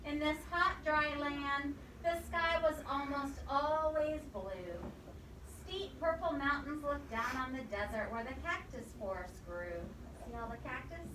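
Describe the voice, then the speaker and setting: A woman with a high voice reads a picture book to young children in an expressive teacherly way, stopping to quiz them. She is some distance from the microphone, and there is clear noise behind her. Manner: expressive, pausing to quiz the listeners. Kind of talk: storybook read-aloud with questions to children. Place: indoor room with children present. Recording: echoey, distant microphone, noisy room.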